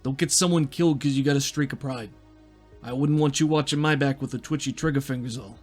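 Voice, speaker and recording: A gruff, world-weary officer of a small mechanical race, acted out by a man in a tabletop game. His talk is blunt and folksy, pitched at an ordinary male height. Soft music plays behind him. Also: brisk, lightly acted. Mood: stern. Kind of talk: in-character roleplay in a tabletop game.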